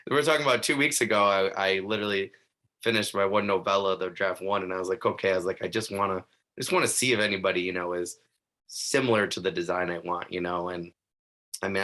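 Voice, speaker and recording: A man's voice: sits low, bright, forceful tone. A man hosting a podcast interview, enthusiastic and laughing often in casual chat. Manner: enthusiastic, laughs often, says "super cool". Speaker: podcast host.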